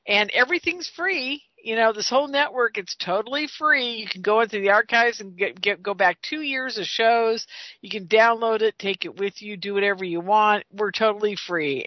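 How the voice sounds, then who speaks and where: A woman's voice: medium pitch, no room sound. Chatty older woman host, dull dry sound. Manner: chatty, emphatic, repeats herself. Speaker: radio show host. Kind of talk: informal host-read sponsor and donation appeal.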